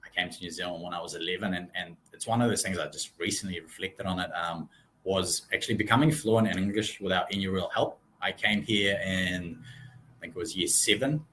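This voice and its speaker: A Russian-born man in his thirties speaks in a very low voice, relaxed and friendly, with plenty of ums. He answers interview questions over a video call. Faint noise hums behind him. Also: fairly quick, rough recording. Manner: relaxed, friendly, many ums, laughs easily. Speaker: real estate branch manager, guest.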